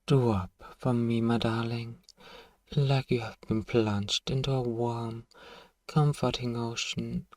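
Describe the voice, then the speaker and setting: A man voicing a seductive, manipulative vampire lord in an ASMR roleplay, coaxing and possessive, with a breathy voice that often drops toward a whisper. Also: mid-range voice, little pitch movement, a little mellow, no echo, studio quality, distant mic, natural voice. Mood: hypnotic, coaxing. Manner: slow, soothing, coaxing, possessive. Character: seductive, manipulative male vampire lord hypnotising captive prey.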